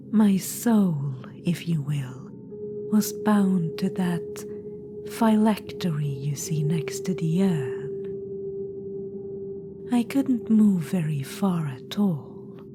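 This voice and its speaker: A woman voicing an ancient undead witch-queen spirit in an ASMR roleplay, whispering in a purring, patronizing way. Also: medium pitch. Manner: purring, patronizing, calls listener darling.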